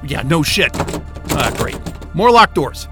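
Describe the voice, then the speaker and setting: A man's voice: on the high side, sing-song, takes it slowly, over music. A man playing an angry, sarcastic, foul-mouthed astrophysicist in a naturalistic sci-fi audio drama, sounding exasperated and incredulous.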